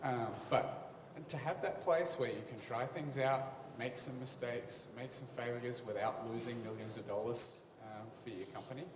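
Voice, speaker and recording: A man's voice: medium pitch, steady tone, moderate pace. A young man answering on a conference panel, reflective and full of fillers. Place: large conference hall. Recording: distant mic in echoey hall, noisy.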